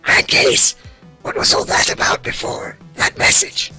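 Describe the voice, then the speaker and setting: A man playing an excitable, mouthy robot with a raspy voice, speaking bluntly in an amateur comic dub. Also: unhurried, faint music behind. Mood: indignant. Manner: indignant, blunt, exclamatory.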